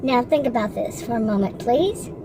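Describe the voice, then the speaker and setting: A woman's voice: a little high, moderate pace, background noise. A woman in her sixties in a rambling, frustrated casual monologue, with many restarts and asides. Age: 60s. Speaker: vlogger.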